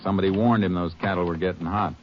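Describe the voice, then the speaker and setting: An actor playing a steady, shrewd Texas Ranger who also narrates, terse and authoritative, in a 1950s radio crime drama. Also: male voice, low-pitched, fast, lightly acted. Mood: businesslike. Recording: noisy, muffled old radio broadcast.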